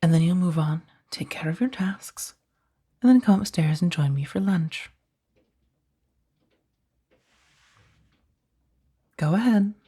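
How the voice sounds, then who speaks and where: A woman playing a powerful, coolly amused mafia boss in a soft-spoken ASMR roleplay, unhurried and condescending, with a breathy, husky voice. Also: lowish voice. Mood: calm, commanding. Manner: unhurried, velvety menace with condescending endearments.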